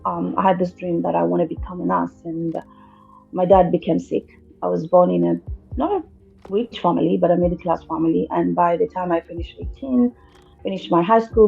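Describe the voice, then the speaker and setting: Gravelly low-voiced woman from Kenya. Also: steady tone, moderate pace, dark tone, muffled recording, faint music behind. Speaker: guest, teacher and mindset coach. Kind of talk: telling her life story.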